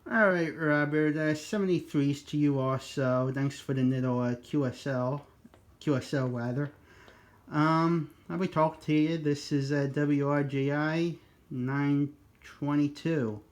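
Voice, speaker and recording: A man's voice: fairly high pitch, steady tone, a little slow. Man chatting casually on two-way radio. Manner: informal, chatty, uses radio jargon. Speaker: amateur radio operator making a video.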